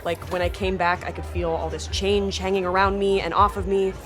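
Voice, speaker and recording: An actress playing a weary, dutiful adult daughter in a stage drama, earnest and wistful. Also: female voice, mid-range voice, flat delivery, a little fast, music in the background, close to an everyday voice. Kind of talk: stage play acting.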